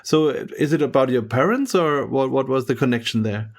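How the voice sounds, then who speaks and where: A man hosting a podcast, chatting casually, laughing often, with halting phrasing. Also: mid-range voice. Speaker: podcast host.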